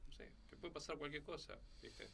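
Gravelly Rioplatense man hosting radio chat. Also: on the high side, a little fast, sharp tone, recorded from a distance, slight background noise. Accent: Rioplatense Spanish. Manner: chatty, frequent fillers and tag questions. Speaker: radio show host. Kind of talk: casual studio chat. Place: radio studio. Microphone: studio mic with headphones.

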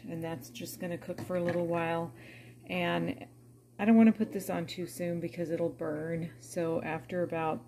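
A middle-aged woman with a somewhat low voice narrates her cooking in a relaxed, chatty way. She sounds homey and unhurried as she walks through each step. Faint kitchen background noise sits under her voice. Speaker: cooking vlogger. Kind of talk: casual cook-with-me narration. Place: home kitchen.

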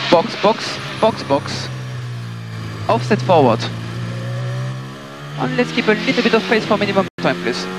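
A high-voiced man speaks as a race engineer over team radio. He gives short, clipped instructions in a matter-of-fact, polite way, with very loud engine noise across the line. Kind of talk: terse radio instructions to a racing driver.

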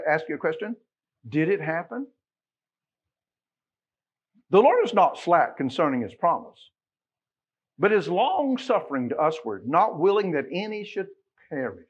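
A middle-aged man with an American accent preaching a sermon in a folksy, conversational way, leaning on emphatic rhetorical questions, with a husky voice. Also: high-pitched, highs cut off. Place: church sanctuary, at a pulpit.

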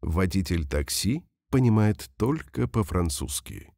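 A man reading example sentences slowly and carefully for language learners, saying each one twice. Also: deep voice, sing-song, vocal fry, darkish tone, no room sound, studio quality. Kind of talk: slow repeated phrase reading for learners.

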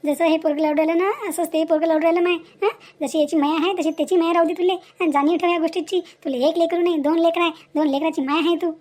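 A woman's voice: extremely high pitch, fairly even intonation, fast, few pauses, a little mellow. A woman voicing a scolding, motherly village neighbour in a cartoon moral story, with a rural Varhadi Marathi accent and an emphatic, admonishing manner full of rhetorical questions. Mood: pleading. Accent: rural Maharashtra, Varhadi Marathi.

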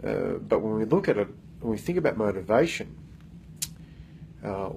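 Aussie guy in his 30s talking into his phone in an office room, kinda low voice, lots of ums and restarts. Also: a little mellow, a little hiss or hum. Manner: earnest, many fillers and restarts. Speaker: coach. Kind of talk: casual self-recorded vlog. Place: office meeting room, indoors. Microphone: phone held at arm's length.

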